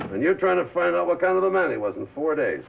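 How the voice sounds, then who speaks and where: Cocky, high-voiced American bandleader. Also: male voice, big pitch swings, a little fast, creaky, noisy background, exaggerated voice. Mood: defensive. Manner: slangy, cocky, punchy street talk. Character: brash, defensive male bandleader, fiercely loyal, wise-guy talker. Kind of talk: 1950s American film drama acting. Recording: muffled vintage film soundtrack.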